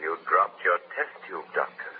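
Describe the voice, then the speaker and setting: An actor playing a mysterious invisible avenger in a 1930s radio drama, taunting and relentless. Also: male voice, deep voice, lively intonation, moderate pace, phone-quality sound. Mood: menacing. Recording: old scratchy radio broadcast.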